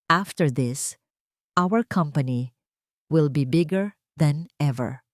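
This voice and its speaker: A woman's voice: low voice, slow pace, no room sound. Haughty elderly matriarch for audio drama, played by a female narrator: smug, sugary false concern, pointed delivery, dry close sound. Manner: pointed emphasis, feigned concern. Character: haughty old rich mother-in-law, falsely sweet, gloating.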